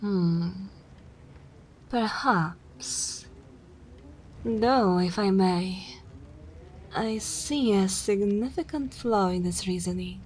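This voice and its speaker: Woman playing a slow, teasing vampire mistress. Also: mid-range voice, slight background noise. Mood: condescending, probing. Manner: slow, formal, condescending drawl. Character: composed, teasing, menacing female vampire mistress. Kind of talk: ASMR roleplay acting.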